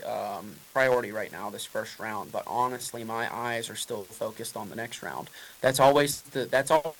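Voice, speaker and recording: A man's voice: mid-range voice, fairly bright tone, rough recording. A man, a race car driver, answering press questions in a casual, rambling way with many fillers and restarts.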